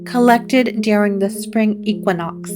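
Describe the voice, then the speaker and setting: A woman playing a gentle, wise occult shop proprietor in a gothic audio drama, unhurried and reassuring. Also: mid-range voice, close to an everyday voice. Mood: gentle, calm. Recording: clear music bed under the voice.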